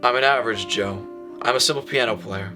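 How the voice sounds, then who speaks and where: A gloomy noir poet played by a man confesses his downfall in film voice-over. His voice is forceful and bright, melodramatic and full of self-pity, with music underneath. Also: medium pitch, brisk, lightly acted. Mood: despairing. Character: gloomy self-pitying male slam poet, brooding noir narrator. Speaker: narrating lead character.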